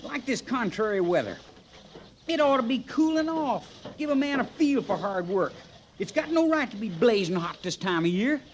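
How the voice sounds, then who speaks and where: A high, swooping, whiny trooper with a folksy American drawl. He is a work-shy complainer from a vintage radio Western, heard through light noise. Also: sing-song, moderate pace. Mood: complaining. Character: whining, work-shy cavalry trooper, a man. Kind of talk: old-time radio Western acting.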